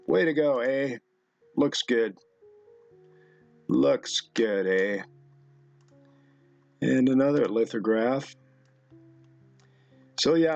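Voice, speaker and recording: A middle-aged man rambles slowly through his thoughts, halting and musing as he comments on pictures. His voice has a gravelly creak, and faint music plays behind him. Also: mid-range voice, muffled recording. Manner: musing, halting, talks to himself. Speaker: video host. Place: indoor room at a computer.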